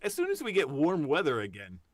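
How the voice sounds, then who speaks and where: A man's voice: a little high, wildly swooping pitch, a little fast. A man co-hosting a podcast in casual, playful banter, repeating words for effect. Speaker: podcast co-host.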